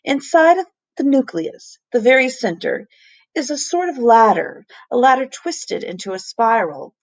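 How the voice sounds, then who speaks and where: A woman voicing an earnest, kindly male professor in a storybook, explaining things deliberately and with emphasis. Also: on the high side, sing-song, voice pushed up. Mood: earnest, explanatory.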